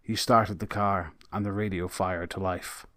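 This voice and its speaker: A man's voice: deep voice, steady tone, measured pace, very noisy. A man reading scripted, dramatic story narration.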